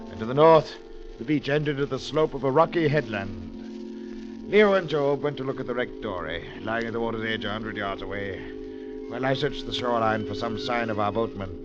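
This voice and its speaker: An actor playing a cultured gentleman adventurer who narrates a vintage radio drama in a measured, earnest way. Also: fairly low pitch, lightly acted. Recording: muffled old radio broadcast with music.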